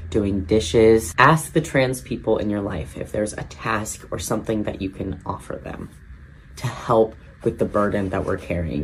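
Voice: mid-range voice, slight background noise. A person giving an earnest direct-to-camera appeal.

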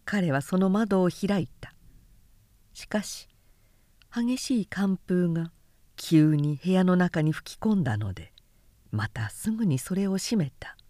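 A woman narrating literary fiction aloud as an audiobook, with measured, deliberate phrasing and pauses. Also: lowish voice, lively intonation, dark tone, no room sound. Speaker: narrator reading a novel aloud.

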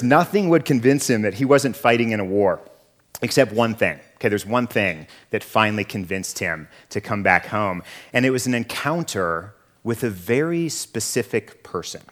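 A man in his thirties, a pastor with a froggy, hoarse voice from a cough, giving a conversational sermon and asking his listeners questions. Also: mid-range voice, normal speed. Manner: conversational, asks the audience questions. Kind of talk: sermon opening with a story.

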